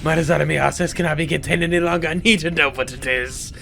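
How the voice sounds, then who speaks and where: A man's voice: a little high, steady tone, fast, gravelly, bright, forceful tone, faint music behind. A man voicing a megalomaniac, scholarly villain from a video game, theatrical, grandiose and intense, obsessed with remaking the world. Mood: cold, grandiose. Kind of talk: video game character monologue.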